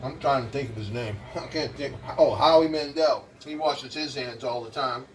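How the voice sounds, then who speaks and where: A man's voice: mid-range voice, moderate pace, recorded from a distance, slight background noise, rough recording. A man in his sixties, a vlogger and motivational speaker, chatting casually to the viewer in a rambling way while he cooks in his kitchen. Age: 60s. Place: home kitchen.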